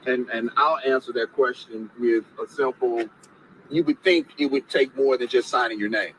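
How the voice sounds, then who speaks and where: Emphatic attorney speaking outdoors. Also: male voice, mid-range voice, moderate pace, fairly bright tone, low-quality recording. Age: 40s. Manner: emphatic, repeats phrases for effect. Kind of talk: outdoor press statement. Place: outdoors by a gate. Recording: outdoor recording with clear background noise.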